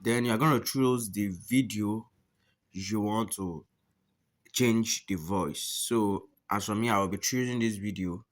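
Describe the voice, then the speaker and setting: A man's voice: on the low side, unhurried. A man narrating a step-by-step app tutorial, often repeating his phrases and instructions. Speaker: tutorial host.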